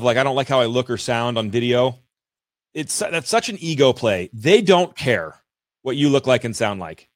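A man's voice: fairly high pitch, a bit bright, no echo, clean studio sound. A man hosting a podcast interview, talking energetically and effusively with many restarts. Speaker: podcast host. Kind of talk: interview chat.

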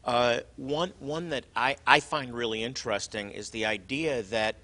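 A man hosting a religious show, teaching conversationally, often halting and repeating words as he explains. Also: mid-range voice, bright, forceful tone.

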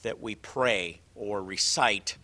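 A man's voice: lowish voice, takes it slowly, pushed and bright. A man hosting a conversation, talking earnestly with frequent hesitations and restarts. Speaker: host. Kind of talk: conversational interview.

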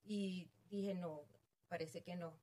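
A middle-aged woman with a Venezuelan accent, a real estate agent guest telling her story in an animated, self-amused way. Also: fairly low pitch, slow. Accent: Venezuelan Spanish. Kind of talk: casual interview chat.

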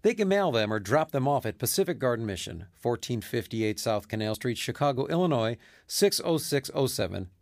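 A man's voice: medium pitch, sing-song, brisk, gravelly, few pauses, no echo, studio quality. A man speaking as a charity president in a scripted radio appeal. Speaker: charity mission president, guest.

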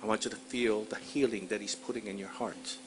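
A man's voice: fairly low pitch, fairly even intonation, brisk, a little hiss or hum. A man from Puerto Rico in the congregation, hesitant at first and then earnest as he shares a word. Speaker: congregation member. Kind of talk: sharing a prophetic word.

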